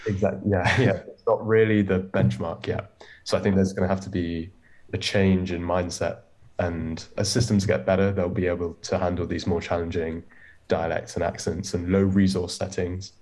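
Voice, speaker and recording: Male research engineer, very low voice, casual restarting delivery. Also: little pitch movement, normal speed, a little mellow. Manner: fluent but restarting, casual fillers like "like". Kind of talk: panel discussion on a video call.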